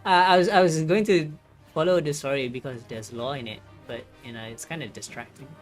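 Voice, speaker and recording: A woman streaming a story game and chatting casually as she goes. She stumbles and repeats words in an amused way, with game music clearly playing behind her voice. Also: low voice, fairly quick. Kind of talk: casual gameplay commentary and reading aloud.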